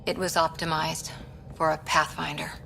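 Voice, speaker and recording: A woman playing a composed starship officer in a video game, speaking in a formal, measured way. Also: deep voice, little pitch movement, creaky. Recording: music behind the voice.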